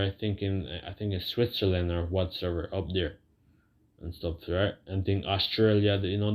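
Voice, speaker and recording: Man, very low voice, informal preacher, rambling, halting, repetitive, colloquial, dull lo-fi audio. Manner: rambling, halting, repeats phrases; colloquial, seeks agreement with tags like "right". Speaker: religious preacher. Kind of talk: informal sermon with scripture reading.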